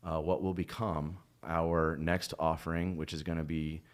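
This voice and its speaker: Very deep-voiced man hosting an interview. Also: unhurried. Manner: relaxed, many fillers, encouraging backchannels. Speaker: host, café owner. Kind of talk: casual interview.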